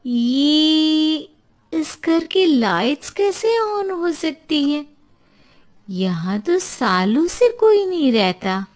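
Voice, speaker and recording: Lady doing a jumpy young wife in a cartoon story, high voice, exasperated and easily scared. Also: sing-song, extremely slow, exaggerated voice. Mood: startled. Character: frightened, exasperated young wife who saw a ghost.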